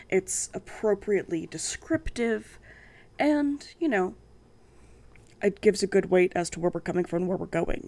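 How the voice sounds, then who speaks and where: Woman, gravelly croaky voice, sarcastic book reader, coughs and sniffles. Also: medium pitch, a little mellow. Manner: sassy and sarcastic. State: croaky from a sinus infection. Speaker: book channel host. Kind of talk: reading a novel aloud with commentary.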